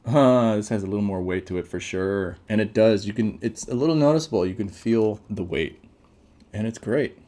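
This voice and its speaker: A man's voice: lowish voice, normal speed, warm tone. A man giving casual, off-the-cuff first impressions as a gadget reviewer, thinking aloud as he goes. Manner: off-the-cuff, thinking aloud while reading out menus.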